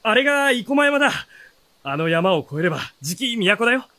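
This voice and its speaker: A man playing an easygoing, teasing young traveler in a Japanese historical fantasy radio drama, with a relaxed, bantering manner. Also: high voice, fairly quick, full character voice. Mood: curious, friendly. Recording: music under the voice.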